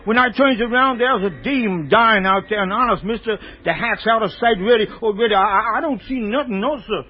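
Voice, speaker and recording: An actor playing an excitable young American street newspaper seller in old radio drama style, stammering through slangy talk on a muffled recording. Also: male voice, high voice, brisk, breathy edge. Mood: nervous, defensive. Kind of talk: 1930s-40s radio drama acting.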